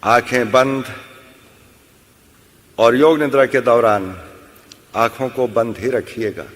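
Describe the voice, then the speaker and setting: A man leading a guided yoga nidra session as an instructor, giving measured instructions with long pauses. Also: medium pitch, fairly bright tone. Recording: long hall-like reverb.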